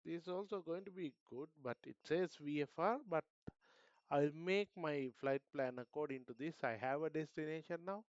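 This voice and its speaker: A man's voice: fairly high pitch, moderate pace, clean tone, dry recording, dull audio. A man with a Sri Lankan accent, thinking aloud hesitantly in casual commentary as a flight simulator streamer.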